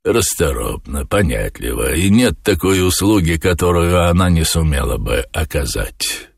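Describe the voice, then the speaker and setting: A man voicing a weary, commanding lord of darkness in an audiobook, brooding, slow and imperious with quiet menace. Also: low-pitched, expressive, vocal fry, husky, no room sound, studio quality, exaggerated voice. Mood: stately. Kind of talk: audiobook character voice.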